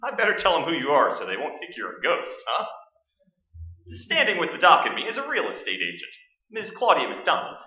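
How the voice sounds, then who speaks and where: Roomy stage recording: man as chatty ghost-hunting radio host, very bright, breezy showman. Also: fairly high pitch, wildly swooping pitch, fairly quick, distant mic, highs cut off, heavily acted. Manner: showy, breezy announcer patter. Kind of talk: staged radio play acting. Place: indoor stage, live performance.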